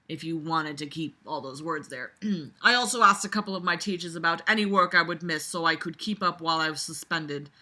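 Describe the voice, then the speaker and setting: Bright, forceful woman, sarcastic and blunt. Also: on the low side. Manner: sarcastic, blunt, exasperated, swears freely. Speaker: host reading a book aloud and critiquing it. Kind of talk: casual commentary mixed with mocking read-aloud.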